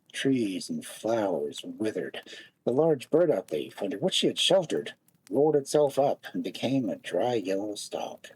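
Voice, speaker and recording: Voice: medium pitch, a little mellow, husky, rough recording. A storyteller narrating a fairy tale expressively, with dramatic, lingering phrasing.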